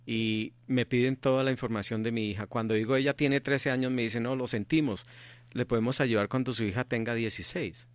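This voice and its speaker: A man's voice: mid-range voice, steady tone, a little fast, dry recording. A middle-aged man from Colombia, answering interview questions earnestly and repeating his key points for emphasis. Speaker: interview guest, activist father.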